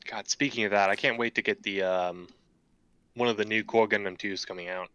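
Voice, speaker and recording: A man chatting casually while building a model kit, relaxed and joking, thinking aloud with fillers. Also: fairly low pitch, fairly quick, smooth, no echo, highs cut off. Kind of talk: casual chat.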